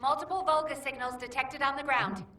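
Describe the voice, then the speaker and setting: A woman playing an earnest, urgent military operator in a video game, giving crisp mission reports. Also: high-pitched, steady tone, a little fast, sharp tone. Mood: focused. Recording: distant, echoey, noisy game audio.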